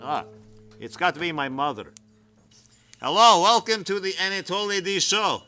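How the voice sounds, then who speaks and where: A man hosting a comedy radio show, teasing his guest in a deadpan manner and stammering on restarts. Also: high voice, moderate pace, faint music behind.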